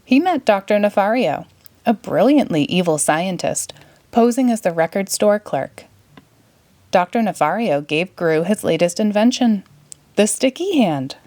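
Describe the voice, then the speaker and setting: A woman narrating a children's story in a clear, expressive read-aloud manner. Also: mid-range voice, measured pace, no echo. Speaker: storybook reader.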